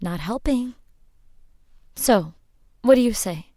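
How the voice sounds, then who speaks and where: An actress playing a wry, quick-witted small-town woman in a radio drama, halting and coaxing. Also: a little high, lively intonation, mellow, no room sound, clean studio sound. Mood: placating. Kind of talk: horror mystery radio drama acting.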